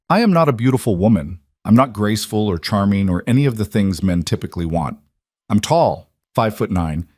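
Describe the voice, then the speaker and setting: A man voicing a plainspoken woman seamstress in her late thirties as he reads her letter in a steady, blunt way. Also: on the low side, dry recording, studio quality.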